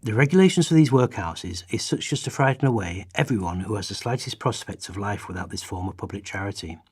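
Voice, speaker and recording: Guy playing an old-time social writer on radio, husky low voice, formal and grave, dry studio sound. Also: lively intonation, quick pace, no room sound. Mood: grave, measured. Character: grave male social writers of past centuries chronicling poverty. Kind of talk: dramatised reading in a radio play.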